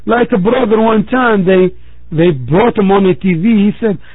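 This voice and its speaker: A man with a raspy, breathy voice gives a measured sermon, repeating phrases to drive his points home. The sound is thin and phone-like, and very dry. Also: high-pitched, no room sound. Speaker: preacher. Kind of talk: religious talk to a congregation.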